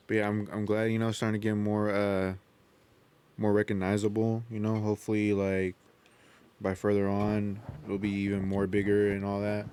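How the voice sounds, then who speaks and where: Smooth, lowish-voiced man in casual podcast chat. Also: completely flat delivery, normal speed, warm tone. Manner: slangy, frequent "you know". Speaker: podcast co-host.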